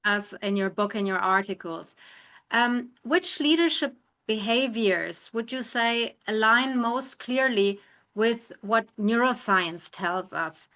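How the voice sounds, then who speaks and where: A woman's voice: on the high side, slow pace, sharp tone. A woman interviewing a guest, putting questions in a conversational style.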